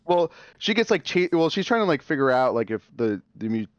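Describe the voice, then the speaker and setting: Kinda muffled dry mic, guy rambling casually on a podcast, swears, average pitch. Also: sing-song, a little fast, no echo. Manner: informal, sweary, rambling with repeated words. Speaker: podcast co-host. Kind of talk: casual chat about TV and games.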